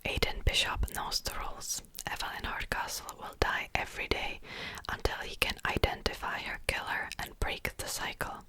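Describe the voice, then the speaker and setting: A woman from the Republic, whispering softly in a slow, rambling ASMR chat with small fillers. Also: rough recording. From Czech Republic. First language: Czech. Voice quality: soft unvoiced whisper. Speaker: ASMR creator. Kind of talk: whispered ASMR book haul.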